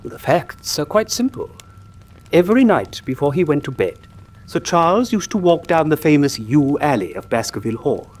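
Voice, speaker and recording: An actor playing an earnest country doctor who tells a grim tale gravely and articulately in a radio drama. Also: male voice, on the high side, big pitch swings, normal speed, a little mellow. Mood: earnest, factual.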